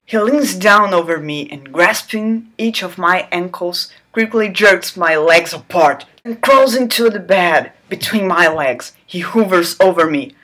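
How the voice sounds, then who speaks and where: A woman reads aloud in a slow, lowish voice, hamming up every line for laughs. She keeps stopping to toss in sarcastic jokes and squealing asides. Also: animated, a bit bright. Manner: theatrical, breaks off to joke and squeal. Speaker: channel host reading aloud. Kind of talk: mock-dramatic reading with sarcastic asides.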